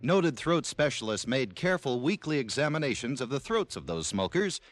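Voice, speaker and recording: Male radio announcer, 1950s commercial style, very animated pitch, emphatic, dry close sound. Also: moderate pace, no echo.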